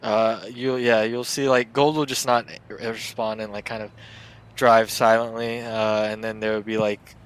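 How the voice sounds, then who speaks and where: Flat, low-voiced man playing a tabletop game. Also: moderate pace, smooth. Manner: hesitant, searching for words. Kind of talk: casual improvised description of character actions.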